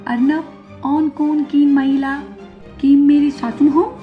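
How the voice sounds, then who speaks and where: A young Nepali bride performed by a woman with a high voice and a Nepali accent. She is polite but blunt, choosing words haltingly in broken grammar. It is a cartoon tale on dull audio with music behind. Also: level tone. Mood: polite, hesitant. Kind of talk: Hindi animated moral story acting.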